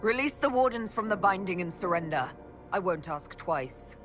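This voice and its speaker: An actress playing a stern heroic leader in a video game cutscene, speaking in a firm, direct, serious way. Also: female voice, medium pitch, lively intonation, moderate pace, soft background music, lightly acted. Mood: stern, commanding. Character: stern heroic woman leader confronting a villain.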